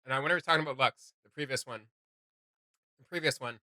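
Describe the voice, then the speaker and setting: A man's voice: mid-range voice, fast, sharp tone, no room sound. A man hosting a reaction video, thinking aloud in casual, tentative commentary.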